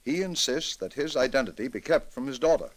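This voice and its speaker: An actor playing a grave, reflective middle-aged judge in an old-time radio drama, speaking in a measured, deliberate, dramatic way. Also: male voice, medium pitch, lively intonation, close to an everyday voice. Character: grave, reflective male judge with a troubled conscience.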